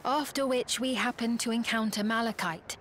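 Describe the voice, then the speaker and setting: A woman's voice: fairly high pitch, normal speed, a bit bright, a little hiss or hum, close to an everyday voice. An actress playing a composed, serious woman ally in a video game cutscene.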